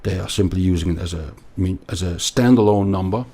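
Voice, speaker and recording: A man with a Belgian accent explaining things as an interview guest, haltingly and emphatically, often repeating words. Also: sits low, warm tone, slightly rough. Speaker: podcast guest, investment analyst.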